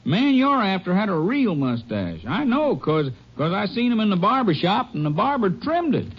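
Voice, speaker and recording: A fussy, chatty hotel desk clerk from an old radio drama, with a high voice that jumps all over. His country speech comes out quick and excitable. The sound is muffled, like a vintage broadcast. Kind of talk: 1950s radio drama acting.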